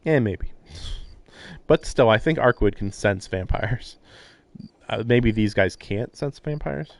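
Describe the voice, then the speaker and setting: A man giving casual live-stream commentary on a game, thinking aloud in halting, musing fragments. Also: lowish voice, expressive, gravelly, no echo. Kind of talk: casual live commentary on a visual novel playthrough. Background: music.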